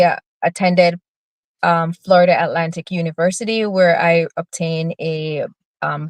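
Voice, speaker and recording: A woman's voice: fairly low pitch, no room sound. A woman in her forties from Jamaica, answering interview questions as a political candidate in an earnest, composed way.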